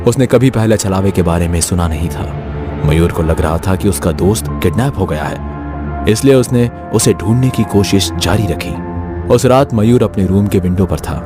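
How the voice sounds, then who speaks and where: A man's voice: sits low, normal speed. A man reading a scripted horror story as its storyteller. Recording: music behind the voice. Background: music.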